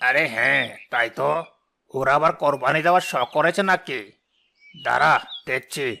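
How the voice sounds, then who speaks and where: A man's voice: mid-range voice, normal speed, pushed and bright, a little hiss or hum. A man playing an arrogant rich village boss in a cartoon, talking in a mocking, bossy way. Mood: mocking, scornful. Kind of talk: cartoon dialogue. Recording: clear music bed behind the voice.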